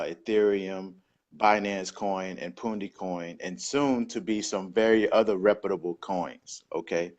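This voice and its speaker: Upbeat low-voiced Southern man. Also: a little mellow. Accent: Southern American. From Georgia, USA. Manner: upbeat, easygoing, storytelling. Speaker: guest, crypto device distributor. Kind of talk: casual interview over video call.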